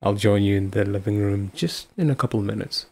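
A man playing a devoted, affectionate husband in an intimate audio roleplay, with a husky voice. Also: fairly low pitch, fairly quick, warm tone. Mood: playful, loving. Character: devoted, affectionate husband, playful then anxiously caring. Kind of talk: intimate ASMR boyfriend-style roleplay acting.

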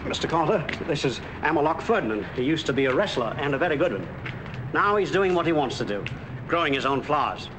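A man's voice: a little high, sing-song, quick pace. An actor playing a friendly, folksy, plain-spoken middle-aged working man in a 1940s film. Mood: cheerful. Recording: noisy old 1940s film soundtrack.

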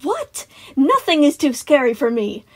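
A woman voicing a bold, inquisitive little boy in a narrated story, insistent and excitable. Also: very high voice, normal speed, pitched above the performer's own voice, exaggerated voice. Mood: boastful.

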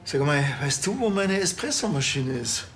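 An actor playing a dry, deadpan, grumbling Bavarian policeman in a TV crime comedy. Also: fairly high pitch, normal speed, slight background noise. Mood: curt.

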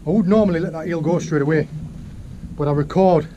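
A man's voice: fairly high pitch, brisk, dull audio. Young man fishing from a windy boat. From England. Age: young adult. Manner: chatty, excitable, explains as he works. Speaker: fishing vlogger. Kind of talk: casual commentary while fishing. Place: small open boat at sea. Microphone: action camera mounted on the boat. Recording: noisy outdoor camera audio at sea.

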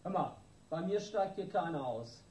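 An actor playing a hot-tempered small-time gang boss in a radio crime drama, coarse and threatening in street slang. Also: male voice, on the high side, animated, moderate pace, dark tone, close to an everyday voice. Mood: boastful, menacing. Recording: roomy, distant, noisy radio drama.

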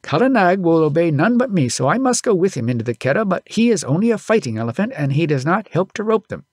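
Casting: man acting middle-aged elephant driver, storybook father, scolding and proud, high swooping delivery, archaic phrasing. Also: very animated, quick pace, husky, dry recording, clean studio sound. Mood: indignant scolding. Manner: indignant, archaic thee-and-thou speech. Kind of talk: audiobook character voice.

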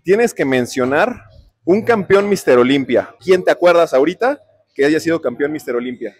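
A man's voice: a little high, lively intonation, normal speed. A man in his thirties with a Mexican accent, hosting a playful, teasing quiz with people in an indoor gym. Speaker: host.

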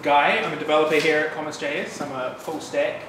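A man's voice: a little high, brisk. New Zealand man talking in an echoey room. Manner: relaxed, chatty, with frequent fillers and asides. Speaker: developer giving a meetup talk. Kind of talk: casual technical talk. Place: bright loft-like office room with an audience. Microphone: room mic, speaker standing away from it. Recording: distant, echoey room with background noise.

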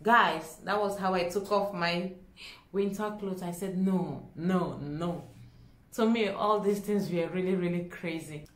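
Expressive Nigerian woman in an echoey room. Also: fairly low pitch, a little slow. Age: young adult. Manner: expressive, dramatic, acts out dialogue. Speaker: vlogger. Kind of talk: animated storytelling to camera. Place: small indoor room at home.

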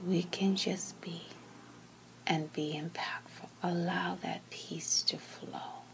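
A woman leads a guided meditation in a calm, gentle, breathy voice that often sinks to a near-whisper, speaking in short phrases with long pauses. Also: lowish voice, low-quality recording. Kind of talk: slow guided meditation, soothing scripted narration.

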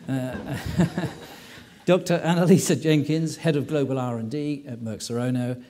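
A middle-aged man chairing a conference panel, introducing speakers in a relaxed, joking way. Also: mid-range voice, unhurried, a little hiss or hum. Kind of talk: moderating a panel. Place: large hall, conference stage.

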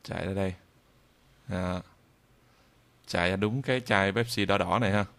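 A man narrating an informal software tutorial in a halting way, with frequent drawn-out hesitations. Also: low-pitched, vocal fry. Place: indoors at a computer, screen recording voice-over.

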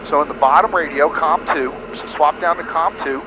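A man's voice: on the high side, moderate pace, muffled recording. A middle-aged American man giving hands-on flight instruction, explaining things step by step over a headset. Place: small airplane cockpit in flight. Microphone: aviation headset. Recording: headset audio with engine noise.

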